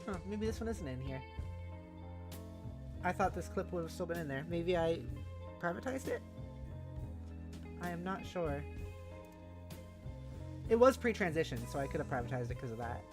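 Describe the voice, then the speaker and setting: A streamer host with a mid-pitched voice chats casually and in an animated manner on a livestream. Music plays clearly behind the talk. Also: moderate pace, pauses often.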